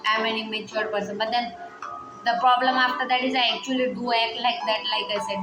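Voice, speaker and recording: A woman in her twenties talking through personal worries in a rambling, hesitant vlog, heavy on fillers. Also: a little high. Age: 20s. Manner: quietly upset, reflective. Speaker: vlogger. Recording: echoey room with music playing behind.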